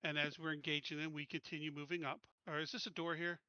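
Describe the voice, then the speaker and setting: A man with a very bright, smooth voice plays a tabletop game. He thinks through his plans aloud in a decisive, casual way. The sound is dry and close. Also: fairly high pitch, level tone, fast, no echo, dull audio. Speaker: player.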